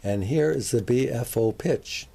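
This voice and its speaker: An older man with an American accent gives a folksy, measured show-and-tell, addressing viewers directly like a hobbyist presenter. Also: mid-range voice, creaky, warm tone. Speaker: presenter, antique radio hobbyist. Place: home workshop.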